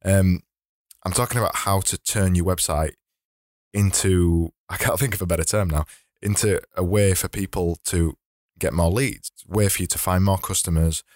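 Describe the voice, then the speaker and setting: Slightly hoarse deep-voiced man hosting. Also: steady tone, normal speed, darkish tone, no echo, studio quality. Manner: rambling, self-correcting, laughs at himself. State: slightly hoarse, losing his voice. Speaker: podcast host. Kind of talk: casual solo podcast talk.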